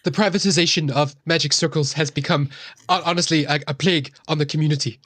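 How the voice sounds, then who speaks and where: A man's voice: fairly high pitch, steady tone, quick pace, a bit bright, dry recording. A man playing a wry, jittery reindeer centaur cleric in a tabletop game, talking hesitantly with dry suspicion. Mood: dry speculation. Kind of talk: improvised tabletop role-play.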